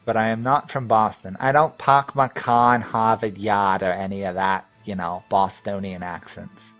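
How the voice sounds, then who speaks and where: A man from New England with a New England accent, casually commenting on his strategy game as he plays and thinking out loud with many fillers. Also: on the low side, flat delivery, normal speed, lo-fi sound, faint music behind. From New England, USA. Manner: thinks aloud, rambling with fillers. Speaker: game streamer. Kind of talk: casual commentary while playing.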